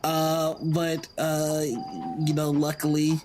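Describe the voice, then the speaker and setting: A tabletop game player casually improvising an account of their character, with hesitant, halting speech. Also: mid-range voice, steady tone.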